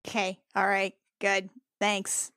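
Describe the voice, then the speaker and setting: A woman's voice: medium pitch, monotone, takes it slowly, sharp tone, clear, resonant tone, dry recording, clean studio sound. A woman playing in a tabletop roleplaying podcast, improvising casually in and out of character. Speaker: player in a tabletop game podcast.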